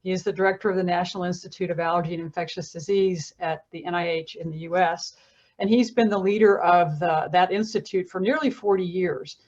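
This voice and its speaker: Woman, expert panelist, explanatory presenting style, muffled low-fidelity sound. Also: fairly even intonation, fairly quick, a little mellow. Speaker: panelist, academic infectious disease expert.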